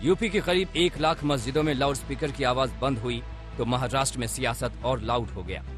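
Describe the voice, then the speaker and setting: A man's voice: medium pitch, fairly quick. A man reading a scripted news report as a voice-over, with dramatic, punchy delivery. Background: background music under voice-over.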